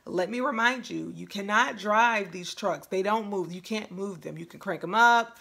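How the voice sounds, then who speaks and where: A woman's voice: medium pitch, normal speed, vocal fry, a bit bright. A woman in her thirties chatting casually to camera as a vlogger, recorded indoors in a room at home. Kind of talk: casual chat to camera recounting an experience.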